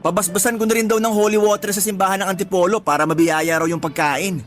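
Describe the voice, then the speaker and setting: A man's voice: high-pitched, steady tone, quick pace, fairly bright tone, faint music behind. An actor playing an exasperated man who complains about his pregnant partner in colloquial radio drama dialogue. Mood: exasperated recounting.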